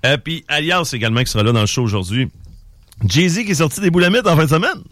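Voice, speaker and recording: A man's voice: a little high, lively intonation, quick pace, a bit bright, no echo. A man in his forties with a Québécois French accent, hosting a show in a colloquial, teasing, chatty way. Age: 40s. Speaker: radio show host. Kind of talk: casual chat with co-hosts.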